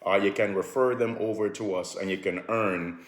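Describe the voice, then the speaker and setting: Low-voiced Jamaican man pitching deals. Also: normal speed, reverberant. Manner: relaxed, repetitive "all right" fillers, sips a drink while talking. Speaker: home improvement company promoter. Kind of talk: casual promotional talk to camera.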